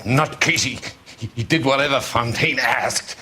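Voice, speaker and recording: A man's voice: mid-range voice, normal speed, sharp tone, a little hiss or hum, close to an everyday voice. An American man playing a wounded, foul-mouthed crooked developer in a video game, cursing and pleading in pain. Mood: desperate. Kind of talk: dramatic video game acting.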